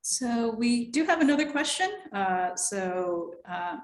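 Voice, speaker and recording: A woman's voice: fairly high pitch, expressive, slow, background noise. A woman hosting a talk and reading out audience questions. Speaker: host, moderator. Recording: echoey room sound.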